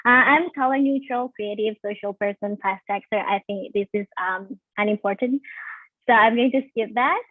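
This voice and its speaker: A woman's voice: medium pitch, normal speed, dry recording. A woman in her twenties with an Indonesian accent, giving a casual webinar talk with frequent ums and restarts, mixing English and Indonesian. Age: 20s. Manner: hesitant, many ums and restarts, switches between English and Indonesian. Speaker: webinar speaker. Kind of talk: casual online presentation. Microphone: video call. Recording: tinny phone-like call audio.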